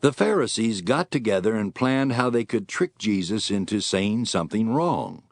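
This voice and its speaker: Male narrator for scripture audio drama, lowish voice, faint music under him. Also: big pitch swings, normal speed, dry recording.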